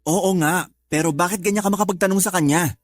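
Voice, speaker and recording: A woman's voice: lowish voice, quick pace, lightly acted. A woman voicing a stern older brother who scolds his sister in a radio drama. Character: older brother, young man.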